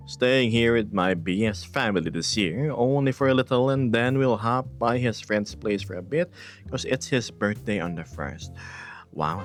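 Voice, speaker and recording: A low-pitched man chats on his live stream in a relaxed, playful way. His voice swings widely between quiet, breathy asides and bright, eager bursts. Faint music plays underneath. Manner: laid-back and playful, chuckling, shifting between hushed asides and upbeat bursts. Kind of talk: casual live-stream chat, reading viewer messages aloud.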